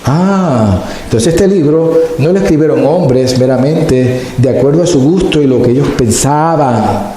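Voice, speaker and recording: A male pastor preaching a sermon slowly and deliberately, stretching words for emphasis. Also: a little high, mellow. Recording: very echoey hall, faint noise.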